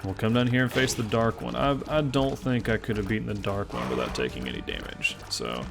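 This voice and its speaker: A man's voice: lowish voice, a little fast, creaky, music in the background. A man in his twenties from Tennessee with a Southern accent, chatting casually and self-deprecatingly while commenting on his gameplay, often rambling between thoughts. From Memphis, Tennessee. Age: 20s. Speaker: gaming channel host.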